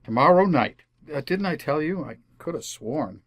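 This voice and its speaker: A well-meaning, stubborn husband in a radio family comedy. His delivery is earnest and defensive, with lively swings of pitch and a dry, close sound. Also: moderate pace, no echo. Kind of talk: old-time radio family comedy acting.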